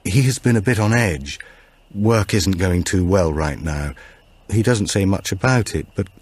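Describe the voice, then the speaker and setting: A man's voice: low-pitched, lively intonation, unhurried, a little mellow, slightly rough, no room sound, full character voice. A man voicing a worried, apologetic wife explaining things in an audiobook. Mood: concerned, apologetic. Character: worried wife explaining her troubled husband.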